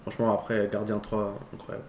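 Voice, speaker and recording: A man's voice: lowish voice, monotone, brisk, over a noisy crowd. A man co-hosting a casual film talk show, speaking slangy peppered words. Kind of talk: casual chat about films. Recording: roomy echo, faint background noise.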